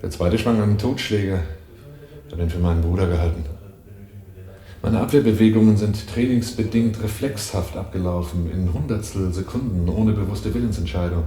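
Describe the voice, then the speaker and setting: An actor playing a defensive, shaken man under police questioning in a 1980s radio drama, halting and then stiffly precise. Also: low voice, mellow, natural voice. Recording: roomy sound with clear hiss.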